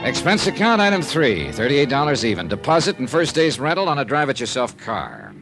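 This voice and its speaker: A wry male private investigator in an old radio drama, crisp and punchy, with music in the recording. His medium-pitched voice swings freely up and down as he talks like a 1950s hard-boiled hero. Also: wildly swooping pitch, brisk. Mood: brisk narrating. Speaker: radio drama lead and narrator. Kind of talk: 1950s radio detective acting, first-person narration.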